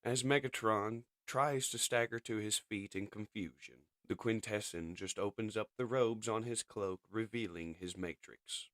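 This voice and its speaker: A man's voice: on the low side, measured pace, hardly any pauses, a bit bright, dry recording, clean studio sound. A man narrating and commenting on a comic as its reader and reviewer.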